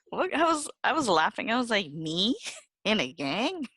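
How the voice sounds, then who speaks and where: Animated, bright-voiced woman chatting. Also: a little high, a little slow, slight background noise. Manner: slangy, profane, exclamatory storytelling. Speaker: podcast co-host. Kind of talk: casual group chat.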